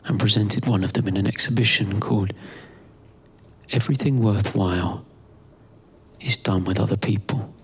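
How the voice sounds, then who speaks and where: Husky man performing a slow spoken-word monologue. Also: lowish voice, poor audio. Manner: deliberate, halting, repeats phrases. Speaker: performance artist and narrator.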